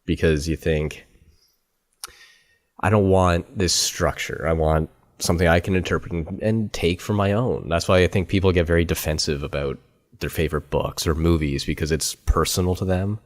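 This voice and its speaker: A man's voice: low voice, steady tone, normal speed. A man hosting a podcast interview, chatting in a friendly, talkative way full of fillers. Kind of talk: casual interview chat.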